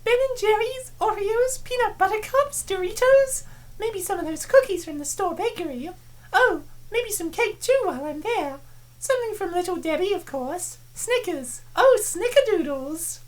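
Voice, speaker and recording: A woman's voice: very high-pitched, animated, normal speed, poor audio. A woman voicing an eager, self-justifying young woman in a comic dub. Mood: eager listing. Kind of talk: comic dub character acting.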